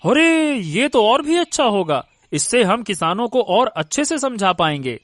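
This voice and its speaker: A man's voice: sits high, sing-song, measured pace, fairly bright tone, no echo, full character voice. A man playing an eager, bashful educated young villager in a cartoon story, polite and easily flustered. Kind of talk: Hindi cartoon story acting.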